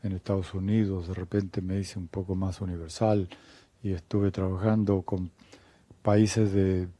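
An older man from Chile, a guest theologian answering interview questions in a measured, unhurried way with many pauses. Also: sits low, level tone, mellow, no room sound.

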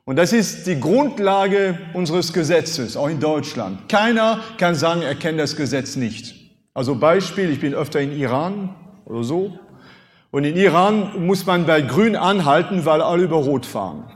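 A man in his fifties whose first language is French preaches in a fairly high voice. He pushes points with sudden rises in loudness and rhetorical questions. His voice carries a long reverb, as if from a large hall. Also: moderate pace. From France. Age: 50s. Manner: animated, rhetorical questions, emphatic swings in loudness. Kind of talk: sermon.

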